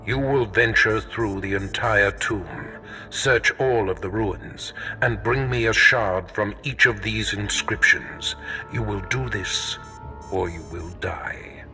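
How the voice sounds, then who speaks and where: Low, slow, imperious Sith master. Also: male voice, sharp tone, heavily acted. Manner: measured, imperious, lecturing delivery. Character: menacing, haughty older Sith master, cold and commanding. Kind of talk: video game cutscene voice acting.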